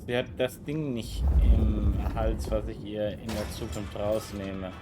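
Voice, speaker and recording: A man's voice: fairly low pitch, fairly even intonation, measured pace, very noisy. A man giving casual live commentary as he plays through a video game. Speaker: game streamer. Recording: game music behind the voice. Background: game music.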